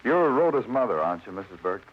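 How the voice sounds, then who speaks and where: An actor playing a world-weary New York police detective in an old radio crime drama, speaking and narrating tersely with an American accent. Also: male voice, fairly low pitch, big pitch swings, fast talker, a little hiss or hum. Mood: probing. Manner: terse, hard-boiled, brooding delivery. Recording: dull old radio recording with prominent music.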